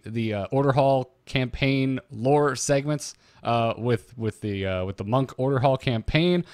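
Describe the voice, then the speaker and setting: A man with an average-pitched voice chats as a podcast co-host. His delivery is informal and conversational. The recording is dry, with next to no room sound. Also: dry recording. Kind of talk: casual podcast chat about gaming.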